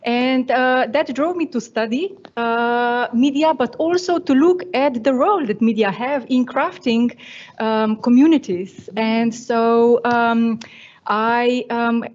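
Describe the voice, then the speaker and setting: Middle-aged woman from slovenia, lots of ums and uhs, higher voice, muffled lo-fi sound. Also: slow, darkish tone. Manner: hesitant, many fillers, earnest. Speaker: panellist, university teacher. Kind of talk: panel discussion answers.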